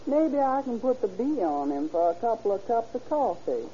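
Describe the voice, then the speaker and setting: Mellow, drawling street dame. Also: female voice, a little high, very animated, moderate pace. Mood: wry, friendly. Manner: slangy, drawling. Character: weary, lonely, friendly down-and-out young street woman. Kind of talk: old-time radio drama acting. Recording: dull, noisy vintage radio recording.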